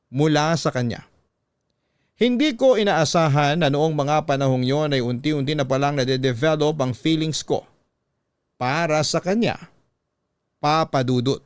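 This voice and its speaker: A man narrating a first-person confession as scripted radio storytelling, measured and earnest, recorded close on a studio mic. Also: fairly high pitch, smooth, no room sound, close to an everyday voice.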